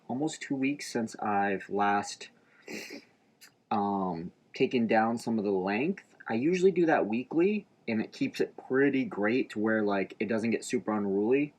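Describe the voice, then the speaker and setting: A man vlogging about his shaving routine in a relaxed, rambling way with lots of fillers, a little stuffed up and nasal. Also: medium pitch. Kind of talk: casual chat to camera. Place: inside an RV.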